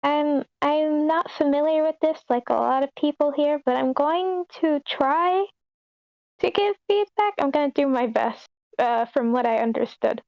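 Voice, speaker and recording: A woman's voice: high voice, measured pace, dry recording, lo-fi sound. A woman giving casual spoken feedback in an informal, hesitant, self-deprecating way on an online meeting call. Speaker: table read participant giving feedback.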